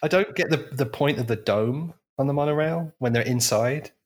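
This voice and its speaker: A man's voice: medium pitch, normal speed. A man chatting about a film, making dry, joking asides. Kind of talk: casual film commentary chat.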